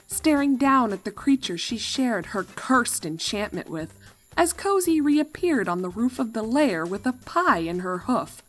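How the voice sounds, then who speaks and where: Animated woman narrating a story. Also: medium pitch. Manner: dramatic, expressive. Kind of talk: expressive scripted story narration.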